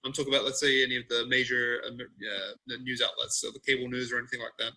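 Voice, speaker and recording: A man with a very sharp, forceful voice and a husky rasp asks questions over a video call. He speaks haltingly, feeling for his words. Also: mid-range voice, flat delivery. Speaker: audience member asking questions. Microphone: video call microphone.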